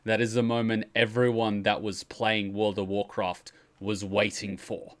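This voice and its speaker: A man's voice: lowish voice, little pitch movement, a little slow. A man hosting a reaction stream, chatting casually and enthusiastically with casual swearing. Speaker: host of a reaction livestream. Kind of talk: casual commentary and chat.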